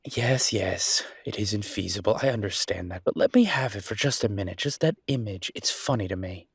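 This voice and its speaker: A man playing an affectionate, slightly grumbling chef boyfriend in an intimate audio roleplay, with a husky voice and a casual, teasing, halting way of talking. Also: fairly low pitch, no echo, clean studio sound. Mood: playful, teasing.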